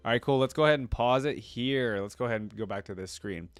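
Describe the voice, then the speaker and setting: A man's voice: mid-range voice, fast, dry recording, studio quality. A man hosting a reaction video, giving casual, conversational commentary that rambles with plenty of filler words.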